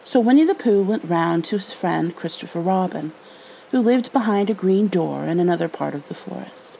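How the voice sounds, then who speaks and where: A woman narrating a storybook in a gentle ASMR style, expressive and unhurried. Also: lowish voice, gravelly, low-quality recording. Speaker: ASMR roleplayer reading a storybook. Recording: faint background noise.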